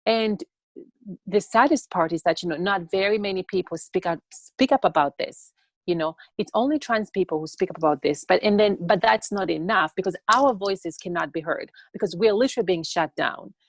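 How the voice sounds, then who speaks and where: A woman's voice: on the low side, no room sound. Young woman speaking earnestly, dry sound. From born in Tanzania. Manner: earnest, halting with repeated words. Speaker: interview guest, TikTok educator. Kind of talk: conversational interview answers. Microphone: video call.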